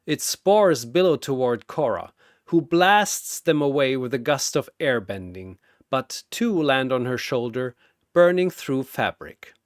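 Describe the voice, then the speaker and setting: A man's voice: medium pitch, expressive, measured pace, no room sound, studio quality. A man telling a scripted battle story in a theatrical, intense and emphatic narrator's style. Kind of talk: dramatic scripted fight narration.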